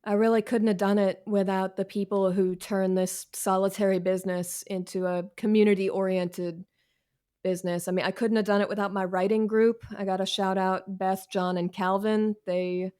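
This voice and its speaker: A woman talks as a guest writer in a conversational interview. She is frank and quick to poke fun at herself, using plenty of casual slang. Her pitch sits in the middle for a woman. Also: flat delivery, dark tone, clean tone. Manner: candid, self-deprecating, slangy.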